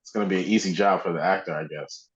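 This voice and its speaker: A man's voice: low-pitched, fast talker, roomy sound. A man co-hosting a podcast in casual chat, recorded with a slightly dull, lo-fi sound. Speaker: podcast co-host. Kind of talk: casual podcast chat about pop culture.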